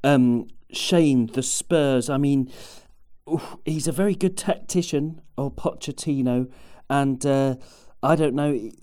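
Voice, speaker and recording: A man's voice: mid-range voice, takes it slowly, warm tone. A man hosting a football podcast, chatty and rambling, with lots of fillers. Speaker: podcast host. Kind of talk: casual football chat.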